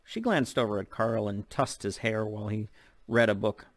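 Male narrator, middle-aged, lower-pitched, reading fiction into a close mic, very dry. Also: moderate pace, dry recording. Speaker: author reading a novel aloud. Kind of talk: audiobook-style story narration. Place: open living room at home. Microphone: desk microphone close in front.

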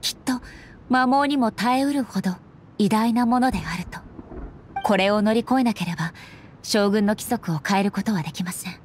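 A woman voicing a resolute warrior deity in an anime game, speaking solemnly with formal, measured phrasing. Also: lightly acted. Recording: faint low rumble behind voice. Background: faint rumbling noise.

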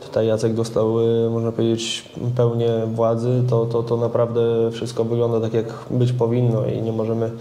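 Hesitant young man, flat mellow voice. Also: mid-range voice, normal speed, hardly any pauses. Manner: hesitant, repeats words while searching. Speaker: football goalkeeper. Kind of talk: casual interview answers. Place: club media room, indoors. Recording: echoey room.

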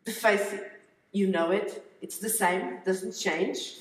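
A woman in her fifties speaks English, slow and deliberate, picking her words with phrasing. She talks on a conference stage into a headset mic. The sound is roomy, with a long hall reverb behind her. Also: mid-range voice, fairly even intonation, a little hiss or hum. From Angola. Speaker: relocation consultant on a conference panel. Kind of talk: conference panel talk.

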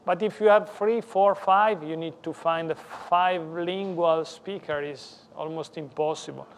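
A man with an Italian accent, a native Italian speaker, lecturing in halting English that he often corrects mid-sentence. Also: on the high side, fairly even intonation. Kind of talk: technical conference talk. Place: lecture room.

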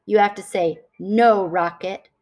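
A woman's voice: medium pitch, steady tone, measured pace, a little mellow, lightly acted. A woman voicing an eager young boy who patiently teaches his little sister, sounding words out carefully and encouragingly. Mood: eager, proud.

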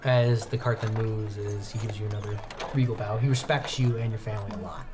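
Young man narrating haltingly over music. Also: on the low side, steady tone. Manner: halting, conversational storytelling with pauses mid-sentence. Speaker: game master. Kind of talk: improvised narration of a tabletop roleplaying game. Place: indoor studio set at a game table. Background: background music.

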